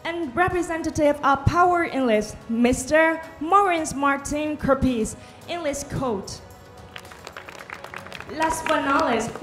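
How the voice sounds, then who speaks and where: A woman's voice: sits high, a bit bright. A woman hosting a pageant event in English, announcing names and rules in a scripted way. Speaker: pageant host.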